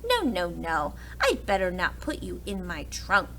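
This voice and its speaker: A woman voicing a grumbling, fretful but soft-hearted old uncle in a storybook, speaking in emphatic exclamations. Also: fairly low pitch, wildly swooping pitch, measured pace, gravelly, a little hiss or hum, full character voice, poor audio. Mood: fretful, dithering. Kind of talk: storybook character voice.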